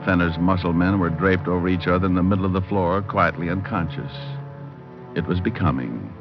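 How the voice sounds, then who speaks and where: An actor playing a cocky, wisecracking hardboiled private detective who narrates with deadpan humor in an old-time radio drama. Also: male voice, sits low, brisk. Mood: wry narration. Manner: deadpan, flippant wordplay in narration and dialogue. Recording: muffled old radio recording with music.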